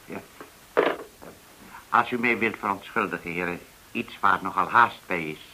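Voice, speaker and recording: Radio play, cautious polite trader, hesitant, low-pitched, slight hiss. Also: male voice, moderate pace. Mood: polite, flustered. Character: deferential, cautious male gold dealer in Hong Kong. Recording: hissy old radio recording.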